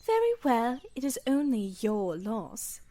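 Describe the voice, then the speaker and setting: A woman's voice: on the high side, very animated, measured pace, dark tone. A woman playing a sadistic, haughty mage villain in an audio drama, with a mocking, theatrical delivery. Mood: smug. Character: sadistic gloating female weather-mage villain, taunting and haughty.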